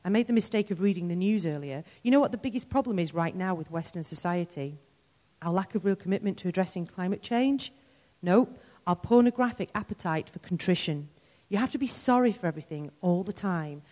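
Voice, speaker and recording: A woman's voice: on the low side, expressive, fairly quick, warm tone, in a large hall, close to an everyday voice. A woman reading the lines of a sardonic young woman friend who delivers blunt, wry rants. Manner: wry, sardonic, mock-ranting.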